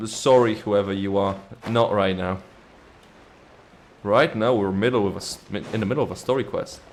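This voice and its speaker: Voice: low voice, normal speed, a little hiss or hum. A game streamer giving casual, teasing playthrough commentary in informal slang, with game music behind.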